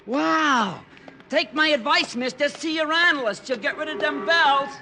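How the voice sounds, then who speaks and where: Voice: sits high, a little slow, sharp tone, faint music behind, heavily acted. An actor playing a brash, slangy young newsboy in an old radio drama, calling out like a street vendor. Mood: cheeky. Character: brash young newsboy hawking late-edition papers. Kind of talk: 1940s radio acting. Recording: noisy old radio recording, street noise.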